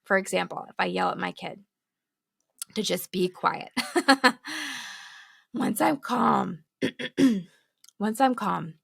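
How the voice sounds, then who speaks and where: A hoarse woman with a heavy, gravelly creak runs through each sentence in a dry, close sound. She teaches in a candid, casual way and laughs between her points, as a podcast host recording at home. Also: mid-range voice, expressive, slow, long pauses, a bit bright, no room sound. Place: home with children nearby. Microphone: studio microphone, close.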